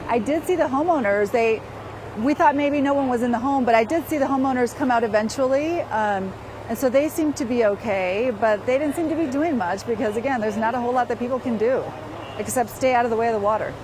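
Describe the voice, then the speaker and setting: Female live field reporter, conversational and unscripted, higher voice, noticeable outdoor noise. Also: brisk. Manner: conversational, frequent "you know". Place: outdoors on a residential street at an emergency scene. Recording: clear outdoor street noise.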